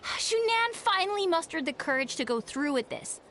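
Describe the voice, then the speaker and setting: A woman's voice: extremely high pitch, sing-song, normal speed, fairly bright tone, slight background noise, full character voice. A woman voicing a pushy, spirited young girl in an anime game, eager and argumentative. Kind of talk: anime video game voice acting.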